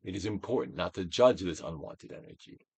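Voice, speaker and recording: A man's voice: low-pitched, expressive, fairly quick, a little mellow, husky, no echo. A man giving a theatrical, declamatory dramatic reading of a self-help book, like a stage Shakespearean, drawing out phrases for emphasis. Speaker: audiobook reader, actor.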